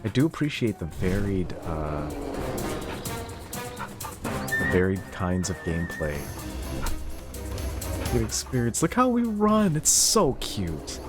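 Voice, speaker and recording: An excitable man talking through a game stream at an unhurried pace. His voice swoops wildly up and down as he reacts. Clear game music plays behind him. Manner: excitable, gasping, squeals high when surprised. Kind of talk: casual gameplay commentary.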